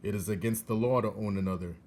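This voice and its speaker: A man's voice: lowish voice, fast talker, a little mellow, slight background noise, lightly acted. A man voicing a stern, dutiful soldier of the king in a storybook, with formal, emphatic official speech. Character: stern, dutiful king's soldier delivering royal orders. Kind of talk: storybook character reading.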